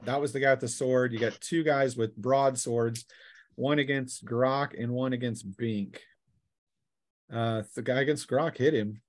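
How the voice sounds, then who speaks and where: A man's voice: mid-range voice, steady tone, unhurried, no room sound. Man running a tabletop game. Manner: informal, conversational narration of dice combat. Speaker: game master.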